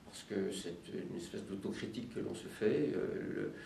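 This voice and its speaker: Guy, low creaky voice, kinda hesitant interview answers, roomy and far from the mic. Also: mellow, a little hiss or hum. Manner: halting, hesitant, searching for words. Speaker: elected local official being interviewed. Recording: roomy, distant mic, music behind. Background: music.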